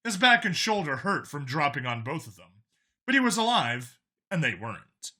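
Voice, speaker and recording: A man's voice: fairly high pitch, very animated, moderate pace, bright, forceful tone. A man narrating a scripted action story as an audiobook narrator, in a dramatic reading style.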